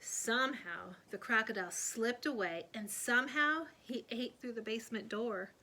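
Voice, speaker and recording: A woman reading a children's story aloud in an expressive storyteller's manner. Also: a little high, normal speed, slight background noise. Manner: expressive, animated delivery of dialogue. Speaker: storybook reader. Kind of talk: scripted read-aloud storytelling.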